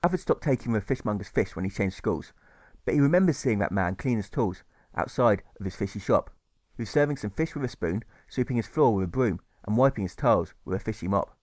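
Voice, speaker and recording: A man's voice: lowish voice, expressive, fairly quick, a little mellow, no room sound, studio quality. A man narrating a rhyming novel as an author reading his own audiobook, with rhythmic, sing-song lists.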